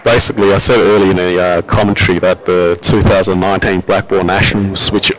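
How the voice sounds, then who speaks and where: A man with an Australian accent and a robust, loud voice, giving relaxed, chatty live commentary on a pool match with frequent fillers. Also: deep voice, a little fast, a little hiss or hum, low-quality recording. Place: venue at a pool championship.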